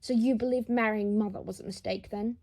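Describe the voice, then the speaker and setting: A woman's voice: medium pitch, steady tone, a little fast, warm tone, lightly acted. A woman voicing a wounded, defiant, fiercely protective grown son in an audio story, impassioned and confrontational. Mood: pained, accusing.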